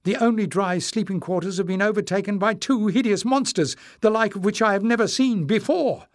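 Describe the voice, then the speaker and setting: A man's voice: high voice, a little fast, pitched above the performer's own voice, lightly acted. A man voicing an anxious young male messenger who stammers, in an audiobook story.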